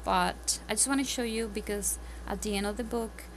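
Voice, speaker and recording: A woman with a strong Spanish accent chats through homeschooling tips at her table, earnest and full of ums and restarts. Her phone's mic picks her up over a steady bed of clear background noise. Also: medium pitch, moderate pace, poor audio. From Spanish-speaking country. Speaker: homeschooling parent. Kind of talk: casual tips vlog. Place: home, at a table, indoors.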